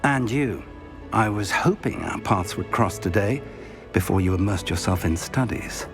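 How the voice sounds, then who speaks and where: A man's voice: on the low side, expressive, normal speed. A man playing a kindly, discreet wizard professor in a video game, speaking in an erudite, courtly and confiding manner. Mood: confiding, encouraging. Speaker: teacher. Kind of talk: scripted video game acting. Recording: prominent music behind the voice. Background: prominent background music.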